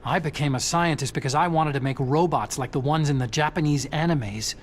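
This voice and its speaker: An actor playing an earnest, nerdy robotics scientist in a video game cutscene, with a boyish, eager manner. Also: male voice, fairly high pitch, fairly quick, slight background noise, natural voice.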